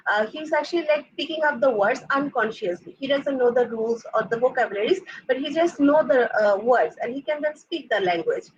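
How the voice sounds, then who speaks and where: A young woman presents her graduate coursework at a seminar. She explains patiently, leaning on "like" and "uh" as she goes. Her voice is husky and somewhat high, and the recording sounds dull and lo-fi. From Bangladesh. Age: young adult. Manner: explanatory, frequent "like" and "uh" fillers.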